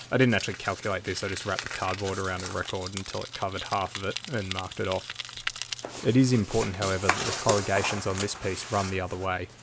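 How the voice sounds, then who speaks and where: A man narrating a scripted how-to video in a measured, matter-of-fact way. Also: low voice, vocal fry, heavy background noise.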